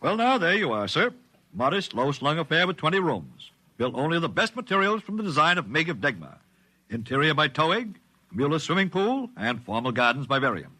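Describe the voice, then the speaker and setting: Fussy, very sharp-voiced salesman. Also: a little high, sing-song, a little fast, heavily acted, rough recording. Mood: obliging, salesmanlike. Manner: polished, obliging, precise enumeration. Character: fussy, deferential male builder salesman of the future. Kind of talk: 1950s radio acting.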